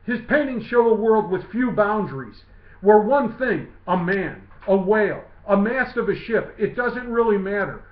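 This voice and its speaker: A man reading his own literary essay aloud in a measured, declamatory way. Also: high-pitched, animated. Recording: muffled lo-fi, roomy echo.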